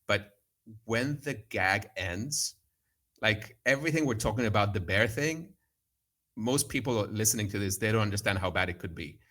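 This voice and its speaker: A man from Cyprus talking markets as a podcast guest. His voice sits fairly low, and he rambles through fillers and restarts in loose, casual chat. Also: animated, normal speed, a bit bright. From Cyprus/Greece. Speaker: guest trader.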